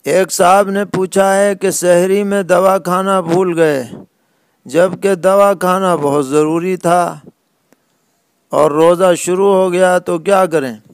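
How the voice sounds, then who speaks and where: A man who speaks as an Islamic scholar, answering religious questions in a measured, deliberate way with pauses mid-sentence. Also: high voice, steady tone, clear, resonant tone, no echo. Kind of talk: religious question-and-answer lecture in plain rulings.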